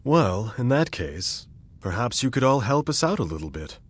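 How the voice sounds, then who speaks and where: A man voicing a calm, polite adult man in a video game, measured and courteous. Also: medium pitch, slightly rough. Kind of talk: video game cutscene voice acting.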